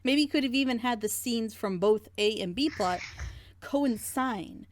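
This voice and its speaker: A woman's voice: on the high side, measured pace, dry recording. A woman hosting a casual group discussion, talkative and opinionated as she gives her critique. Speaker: host of a group reaction show.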